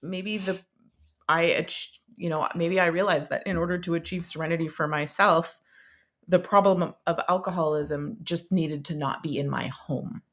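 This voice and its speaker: Low-voiced woman in her thirties. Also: fairly even intonation, fairly bright tone. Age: 30s. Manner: earnest, halting with many restarts. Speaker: podcast co-host. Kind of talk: casual recovery podcast chat.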